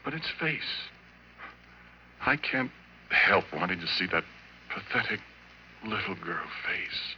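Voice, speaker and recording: An actor playing a haunted, unhinged middle-aged former oil-rig man, narrating a 1940s radio horror play in an intimate, halting, dramatic way on an old recording. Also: low-pitched, animated, bright, forceful tone, exaggerated voice. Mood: tender, obsessed. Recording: dull, noisy vintage radio recording.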